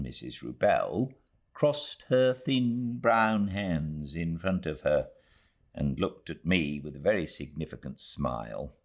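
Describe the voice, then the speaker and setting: A man with a British accent reading a classic novel aloud as an audiobook narrator, in a measured, expressive storytelling manner. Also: a bass voice, creaky. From Britain.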